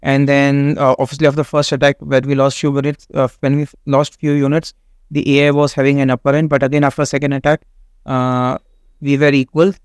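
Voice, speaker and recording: A man, not a native English speaker, hosting a game walkthrough in a halting way with many fillers and restarts. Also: mid-range voice, level tone, smooth, no room sound, clean studio sound. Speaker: gaming channel host. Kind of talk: casual game walkthrough commentary.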